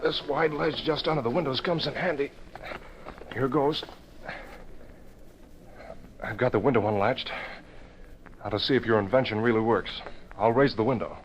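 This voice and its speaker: A man's voice: mid-range voice, moderate pace, pauses often, highs cut off, soft background music, lightly acted. An actor playing a decisive, commanding male vigilante hero in an old-time radio drama, giving purposeful orders. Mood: cautious, purposeful. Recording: noisy vintage radio recording.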